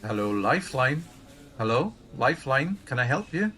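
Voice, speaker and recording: A reserved male counsellor in a radio play. He is laconic and drily witty, with a mid-pitched voice. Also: very animated, normal speed, sharp tone. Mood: businesslike. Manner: laconic, wry. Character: reserved, dry-witted male helpline counsellor. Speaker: actor.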